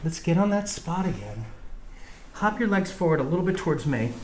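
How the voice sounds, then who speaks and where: A man's voice: a little high, sing-song, a little fast, darkish tone. A middle-aged man working as a chiropractor, talking a patient through each hands-on step and checking for consent. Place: small indoor treatment room. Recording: roomy echo with faint background noise.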